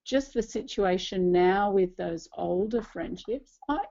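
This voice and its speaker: Woman, late 40s, soft dark tone, earnest personal talk, slightly muffled recording. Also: mid-range voice, measured pace, gravelly. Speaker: church ministry leader speaking as co-presenter.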